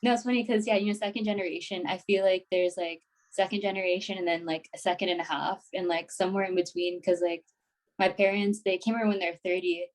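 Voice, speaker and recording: A young woman in her twenties chatting as a podcast guest, her voice sitting a bit low for a woman. She talks easily and informally, leaning on "like" and "you know" as she goes. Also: fairly even intonation, fast talker, low-quality recording. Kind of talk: casual interview chat.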